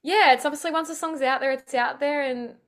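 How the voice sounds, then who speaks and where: Young Aussie woman, slightly high voice, upbeat and rambling, heard over a video call. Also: a little fast, a bit bright. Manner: chatty, upbeat, many fillers. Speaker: singer-songwriter guest. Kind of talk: casual interview chat.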